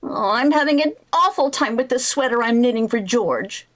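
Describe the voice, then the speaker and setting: A woman playing an excitable, scheming, flighty housewife in a 1940s-style radio comedy, theatrical and full of exclamations. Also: fairly high pitch, normal speed. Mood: flustered, earnest. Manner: theatrical, exclamatory, mock-innocent. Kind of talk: 1940s radio sitcom acting.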